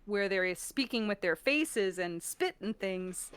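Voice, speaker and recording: Chatty american lady on a stream, crisp voice, no room sound, talks expressively. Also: mid-range voice, lively intonation, takes it slowly, a bit bright, no echo. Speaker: voice actor and performer, guest on a stream. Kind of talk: casual, animated chat.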